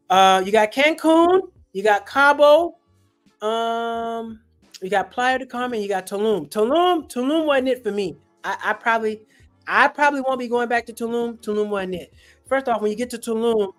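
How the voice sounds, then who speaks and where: Comedian host, casual slangy storyteller, middle pitch, faint music underneath. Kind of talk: casual livestream chat.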